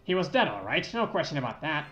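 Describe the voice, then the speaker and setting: A man's voice: on the high side, sing-song, quick pace, a bit bright, soft background music. Man reading as a grave, roomy-sounding junkyard superintendent. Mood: grave, mysterious. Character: older, sombre junkyard superintendent, former medical examiner. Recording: dull lo-fi roomy mic.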